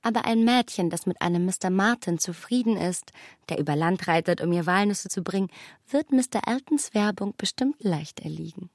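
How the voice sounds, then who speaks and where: Radio drama casting: actress for a condescending young lady, confident matchmaker, medium-pitched voice. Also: expressive, moderate pace, close to an everyday voice. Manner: condescending, self-assured. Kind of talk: literary radio play.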